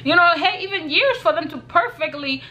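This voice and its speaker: A woman's voice: very high-pitched, animated, a little fast, bright, forceful tone, dull audio. A young woman from Kenya giving earnest, casual advice on her vlog, repeating points and laughing lightly. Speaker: YouTuber.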